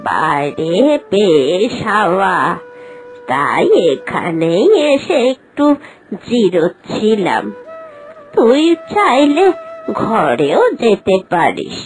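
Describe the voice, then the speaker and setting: An elderly village aunt in a cartoon. She speaks in an unhurried, gentle way and gives patient advice. Her voice sits a little low for a woman. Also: sing-song, soft background music, full character voice, poor audio. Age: older. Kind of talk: animated cartoon voice acting.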